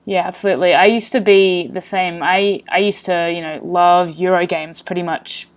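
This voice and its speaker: A woman's voice: on the low side, fairly even intonation, normal speed. A woman hosting a podcast interview, asking questions in a friendly, upbeat way. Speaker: podcast host.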